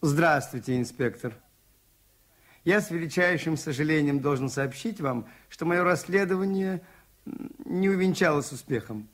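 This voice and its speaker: An actor playing a shrewd, calm priest detective in a 1980s Soviet radio drama, measured and politely insistent. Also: male voice, on the high side, lightly acted. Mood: polite, formal.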